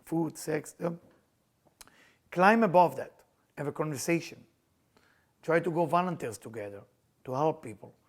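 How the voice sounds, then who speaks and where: A middle-aged man with an Israeli accent, hosting a casual chat and telling personal stories in a playful, animated way, often laughing as he talks. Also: a little high, moderate pace, pauses often. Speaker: spiritual teacher and podcast host.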